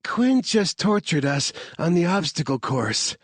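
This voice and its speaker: A man voicing a young female hacker who is out of breath and wry, his voice pushed high. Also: sing-song, unhurried, no echo. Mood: breathless.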